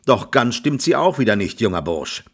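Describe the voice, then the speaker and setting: A man voicing a gruff, stout middle-aged farmer who scolds and threatens in a rough voice in an audiobook. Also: mid-range voice, very animated, moderate pace, voice pushed up. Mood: gruff, threatening. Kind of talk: audiobook character voice.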